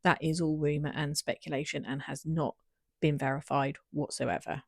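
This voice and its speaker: A woman hosting a true crime show, calmly summarizing police radio audio. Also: low voice, creaky, husky, dry recording, clean studio sound. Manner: measured, summarizing. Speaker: true crime podcast host. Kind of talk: commentary on archive police audio.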